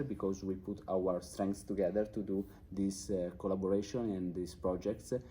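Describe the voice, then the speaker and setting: Male interviewer. Lowish, relaxed voice for a formal research discussion, slight background noise. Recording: faint background noise and ambience.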